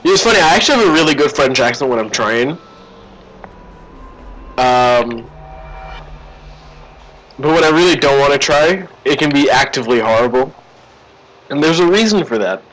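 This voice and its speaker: A man's voice: mid-range voice, expressive, moderate pace, long pauses, fairly bright tone, rough recording. A man casually commenting on a game stream, joking and teasing his chat in a sarcastic tone. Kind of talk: casual live-stream commentary while playing.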